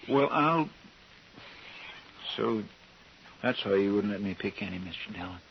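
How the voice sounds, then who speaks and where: Earnest, low-voiced western deputy. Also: male voice, expressive, measured pace, vocal fry. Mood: somber. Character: earnest, deferential marshal's deputy. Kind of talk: old-time radio western acting. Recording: dull, distant, hissy radio recording.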